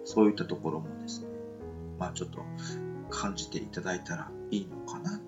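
A man's voice: sits low, fairly even intonation, brisk, darkish tone, lo-fi sound, faint music behind. A man co-hosting a casual radio chat, rambling with many fillers. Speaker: radio show co-host.

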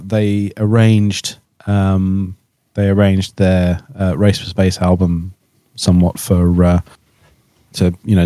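Low-voiced, halting man, mellow tone. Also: no echo. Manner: hesitant, halting, often repeating himself. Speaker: podcast co-host. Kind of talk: casual podcast chat.

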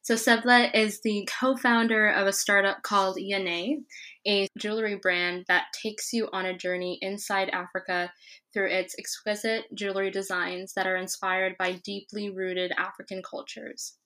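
A woman's voice: medium pitch, little pitch movement, normal speed, bright, forceful tone. A woman hosting a podcast interview, opening with an upbeat scripted introduction before chatting with her guest. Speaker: podcast host.

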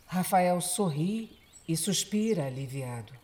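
A woman with a Brazilian accent gives solemn, measured, dramatic narration for a radio soap opera. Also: lowish voice, animated, dark tone. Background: music.

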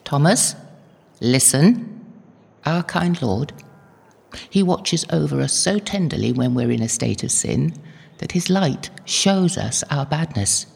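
An actress playing a devout, motherly older holy woman in an audio drama, gentle, knowing and unhurried. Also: low-pitched, lively intonation, gravelly, breathy edge, long reverb tail, heavily acted. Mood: tender, preaching.

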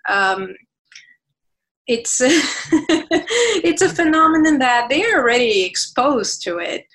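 A woman's voice: high-pitched, very animated, slow pace, a bit bright. A woman guest speaking passionately in a conversational interview, often restarting her phrases, with Portuguese as one of her first languages. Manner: passionate, many restarts and fillers.

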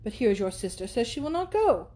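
A woman's voice: fairly high pitch, very animated, fast, dark tone. A woman reading aloud and voicing a brash, boastful young man who talks over everyone. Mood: blustering. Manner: blustering, insistent.

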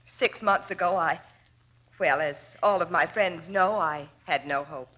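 A woman's voice: on the low side, a bit bright, rough recording. A woman acting an earnest, genteel society daughter with formal, dramatic phrasing in a 1940s radio drama. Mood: grateful, fervent. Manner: dramatic, formal, halting pauses. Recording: old radio broadcast.

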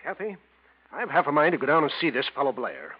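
An actor playing a protective older husband who blusters and bursts out forcefully, in 1940s radio acting. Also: male voice, on the high side, very animated, fast. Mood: worried. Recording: dull old radio broadcast.

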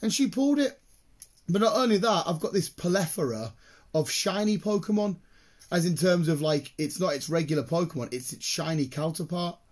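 Excitable, slightly croaky British man. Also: sits high, moderate pace. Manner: excitable, rambling, sweary. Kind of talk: casual chat, rambling anecdotes.

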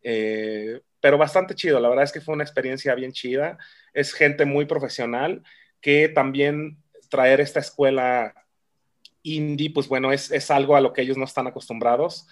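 A man's voice: mid-range voice, steady tone, normal speed. A Mexican man, a film director, chatting casually in an interview, with many fillers and restarts.

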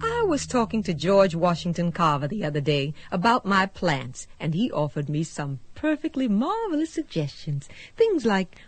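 An actress playing a whimsical, scatterbrained space traveler who rambles airily in a radio drama. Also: female voice, fairly low pitch, big pitch swings, normal speed, hardly any pauses, no echo. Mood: absent-minded. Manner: rambling, airy, matter-of-fact about absurdities.

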